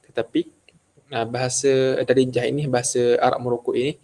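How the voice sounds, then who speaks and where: Flat-voiced young man presenting to class. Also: mid-range voice, fairly quick. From Malaysia, Kelantan. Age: young adult. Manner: hesitant, many fillers and restarts. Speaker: student presenter.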